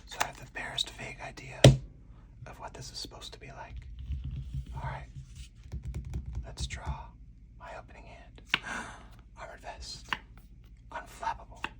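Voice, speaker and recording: Whispered voice, breathy, slow and deliberate, ASMR tabletop playthrough, slightly distant microphone. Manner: slow, deliberate, hushed delivery. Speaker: solo card game player and host. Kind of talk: ASMR whispered card game playthrough with commentary.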